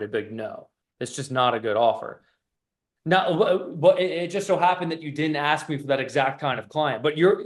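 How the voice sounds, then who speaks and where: Blunt, higher-voiced man coaching casually. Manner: blunt, profane; emphatic, repetitive stop-start delivery. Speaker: business coach.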